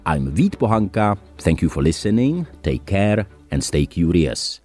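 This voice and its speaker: A podcast host with a Czech accent speaks in a lowish voice that swings up and down with lively expression. He reads a scripted sign-off over faint background music. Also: a little slow.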